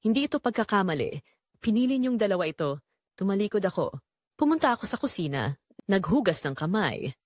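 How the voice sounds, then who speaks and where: A woman playing a betrayed middle-aged mother, telling her story in first person with solemn, emotive, deliberate phrasing in an audio drama. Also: medium pitch, big pitch swings, no room sound, studio quality. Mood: bitter, grieving. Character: betrayed middle-aged mother and wife, wounded, quietly bitter.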